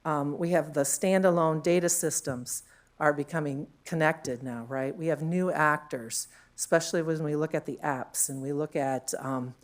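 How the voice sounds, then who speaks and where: A woman with a deep, low voice talks to a conference room through a handheld mic. She is chatty and loose, with frequent fillers and questions tagged onto her points. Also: fairly even intonation, moderate pace. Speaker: conference panel speaker. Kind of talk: conference panel talk.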